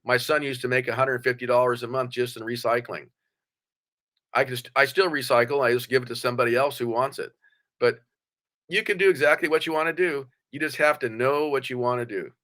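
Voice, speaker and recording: Blunt older man, bright forceful voice. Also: mid-range voice, a little fast. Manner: blunt, plain-spoken, motivational. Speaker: podcast guest. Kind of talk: conversational interview.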